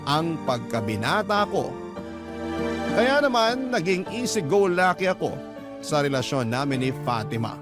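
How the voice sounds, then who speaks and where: A young Filipino father telling his story in a radio love drama. His voice sits a little high. Loud background music runs beneath the confession. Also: sing-song, measured pace. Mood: confessional. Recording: music bed under the voice.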